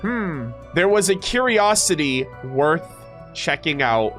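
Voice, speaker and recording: Male gaming streamer, high-pitched, informal and slangy, reading and reacting over clear background music. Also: slow. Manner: informal, slangy, animated asides. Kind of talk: casual reaction commentary mixed with reading aloud. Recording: music playing behind the voice.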